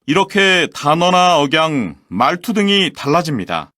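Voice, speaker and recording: A man with a somewhat high voice reads a scripted textbook presentation in a clear, measured and formal way. The recording is close and dry, with no room sound. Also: big pitch swings, sharp tone, no room sound. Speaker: textbook audio voice actor. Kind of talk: scripted language-lesson reading.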